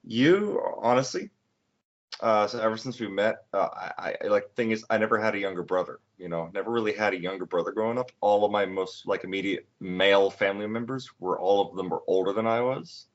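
Rambling low-voiced man in his twenties. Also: fairly quick. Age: 20s. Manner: rambling, many fillers and restarts. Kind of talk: casual chat. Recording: dull lo-fi sound.

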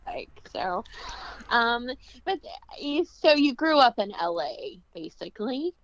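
A woman hosting an interview show, asking chatty, animated questions. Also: on the high side, takes it slowly, dull audio. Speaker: interview host.

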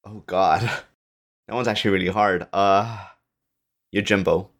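A man with a low voice talks as a guest on a casual podcast. He tells things informally, like a story among friends, readily voicing the dialogue himself. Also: fairly even intonation, unhurried. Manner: informal, acts out quoted dialogue.

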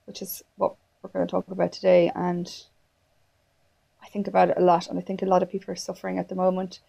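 A woman's voice: on the low side, completely flat delivery, fast talker, creaky, slightly rough, lo-fi sound. A woman in her thirties with an Irish accent, hosting a candid, casual chat and swearing freely. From Ireland. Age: 30s. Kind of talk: casual conversation.

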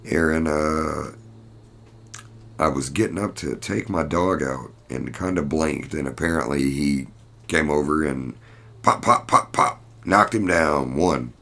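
Very deep, gravelly man, slow-talking. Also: sharp tone. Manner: rambling, blunt, profane. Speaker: podcast host. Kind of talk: casual fight recap.

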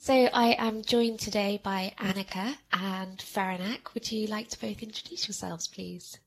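A woman hosting a podcast interview, talking in a reflective, measured way with some fillers. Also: medium pitch, steady tone, soft background music, rough recording. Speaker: podcast host.

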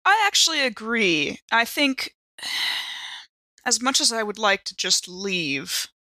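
Bright-voiced woman with dry delivery. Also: a little high, very animated, takes it slowly, no echo. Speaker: player in a tabletop roleplaying audio drama. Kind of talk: improvised in-character talk.

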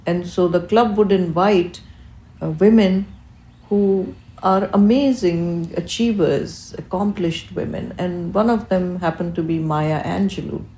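A woman's voice: on the low side, measured pace, warm tone, echoey room, rough recording. An older woman, a native Urdu speaker, giving eloquent, reflective interview answers as a physician and researcher, phrased with deliberation.

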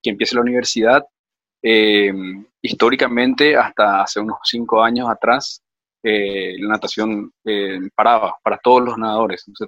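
A man's voice: on the low side, a bit bright, no room sound, muffled recording. A man who coaches swimming at a club, speaking hesitantly with many restarts in an online group discussion.

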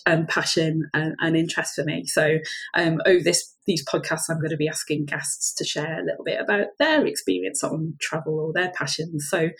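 A woman hosting a podcast interview, enthusiastic and full of praise for her guests. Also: low-pitched. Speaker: podcast host.

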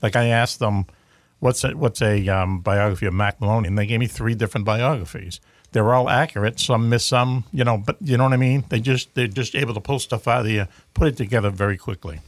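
A man's voice: fairly low pitch, brisk, dry recording. A man with a Boston accent hosting a talk show, chatting in a rambling way with lots of fillers and restarts. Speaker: radio show host. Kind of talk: casual talk show chat.

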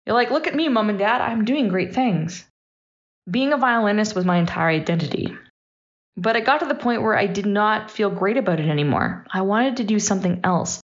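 A woman's voice: medium pitch, animated, brisk. Dull-sounding recording, candid woman in her thirties. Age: 30s. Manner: candid, emphatic phrasing with short punchy sentences. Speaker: guest podcast host. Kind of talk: personal reflective monologue.

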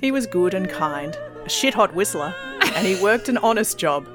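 A woman spins a silly tale with a lively, up-and-down voice. She laughs often and keeps it playful. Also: medium pitch, normal speed, over music. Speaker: podcast co-host. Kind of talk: comic storytelling and music chat.

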